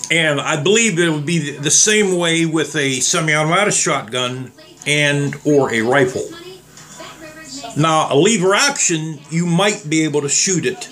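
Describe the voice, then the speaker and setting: A slightly hoarse man talking casually and rambling as he tests trigger locks on guns for his viewers. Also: a little high, unhurried, fairly bright tone, faint music behind. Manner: rambling, plain-spoken, addresses viewers as guys. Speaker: gun reviewer. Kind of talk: casual demo vlog.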